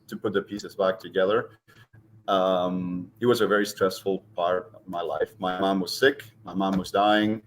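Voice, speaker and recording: A middle-aged man from Argentina with an Argentine Spanish accent, speaking as an interview guest and pausing often with ums and restarts. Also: low-pitched, a little hiss or hum. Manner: hesitant, many ums and restarts. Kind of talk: casual interview talk.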